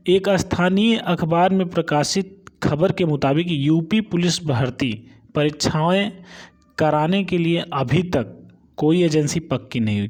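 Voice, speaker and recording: Higher-pitched man explaining exam news. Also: darkish tone. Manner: explanatory, repeats a check-in tag at phrase ends. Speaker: host of a job exam update channel.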